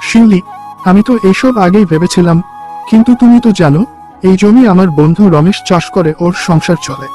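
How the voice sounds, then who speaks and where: A man voicing a kind, simple and loyal young village husband in a cartoon, earnest and gently firm. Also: high voice, a little fast, soft background music, close to an everyday voice. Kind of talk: Bengali cartoon story voice acting.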